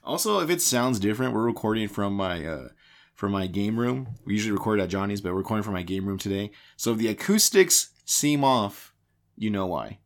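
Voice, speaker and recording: Guy hosting a podcast, lowish voice kinda raspy still recovering, rambly chat in his game room. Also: animated, fairly quick. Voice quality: slightly raspy. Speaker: podcast co-host introducing the show.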